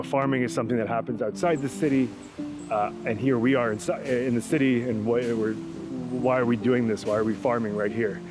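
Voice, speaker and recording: A man from Israel, founder of an urban farming company, explaining his mission in an earnest, measured way. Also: mid-range voice. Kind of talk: interview-style talk to camera. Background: background music.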